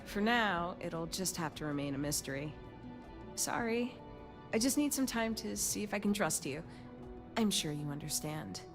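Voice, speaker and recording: An actress voicing a coy, polished hotel keeper with a teasing manner in a science fiction video game. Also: female voice, on the low side, expressive, moderate pace, over music. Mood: coy, confiding. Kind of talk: video game character dialogue.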